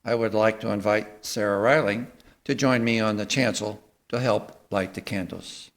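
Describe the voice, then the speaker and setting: A man speaking to a congregation in an earnest, measured way, giving a sermon and liturgical readings. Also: fairly low pitch, steady tone. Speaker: service speaker at a church.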